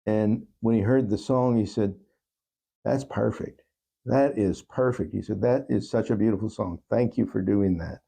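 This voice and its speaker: A man speaking as an interview guest, giving earnest, thoughtful answers in a halting, reflective way. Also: fairly low pitch, vocal fry, mellow, breathy edge. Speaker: talk show guest.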